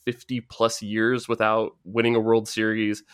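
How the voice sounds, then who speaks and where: A man from the Bay Area with an American accent, hosting a casual sports podcast in a halting, rambling, filler-heavy way. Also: fairly low pitch, completely flat delivery, a bit bright, clear, resonant tone, no echo, studio quality. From San Francisco Bay Area, United States. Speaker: podcast host.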